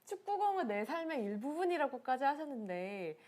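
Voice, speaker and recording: A woman's voice: high voice, big pitch swings, moderate pace, darkish tone. A woman hosting a live-stream interview, asking questions in an upbeat, conversational way. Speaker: interviewer host.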